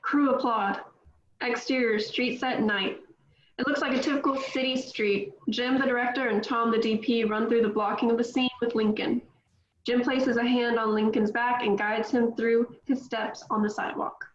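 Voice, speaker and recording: A woman's voice: on the high side, fairly even intonation, moderate pace. Woman reading stage directions, echoey. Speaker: script reader. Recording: echoey room, dull lo-fi sound.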